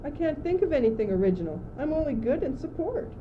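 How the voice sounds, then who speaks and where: A woman's voice: high-pitched, very animated, quick pace. A teenage girl playing a naive, anxious courtier who follows along in an amateur radio play, hesitant and plaintive. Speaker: student actor. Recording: dull, noisy old amateur recording.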